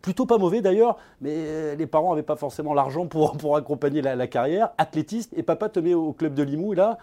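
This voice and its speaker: Chatty male interviewer, warm mellow tone. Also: on the high side, animated, fast. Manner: chatty, prompting questions. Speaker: host. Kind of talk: interviewer presenting a guest.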